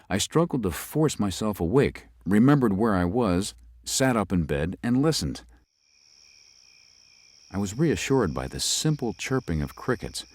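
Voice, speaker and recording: An actor playing a young male lawyer who narrates an eerie tale in a literary, measured, dramatic way. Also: deep voice, a little mellow. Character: young lawyer narrating his eerie stay, uneasy, athletic man. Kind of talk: scripted first-person ghost story narration.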